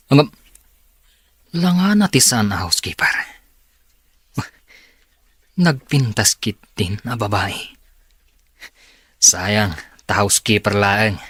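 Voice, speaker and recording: A man's voice: fairly low pitch, animated, takes it slowly, pauses often, a bit bright, slightly rough, no room sound, soft background music, full character voice. A man playing a demanding young boss who gives curt orders in a radio drama. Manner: curt and commanding.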